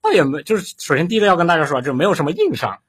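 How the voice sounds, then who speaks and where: A man's voice: a little high, very animated, very fast, fairly bright tone, husky. A man chatting casually as he comments on a show. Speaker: co-guest commenting as an expert listener. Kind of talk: casual chat.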